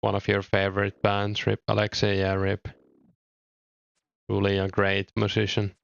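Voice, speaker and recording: A young man from Finland with a mild Finnish accent, chatting casually with viewers while streaming a game, relaxed and thinking aloud with small hesitations. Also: fairly low pitch, a little slow, vocal fry, dry recording, lo-fi sound. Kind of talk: casual chat reading viewer comments.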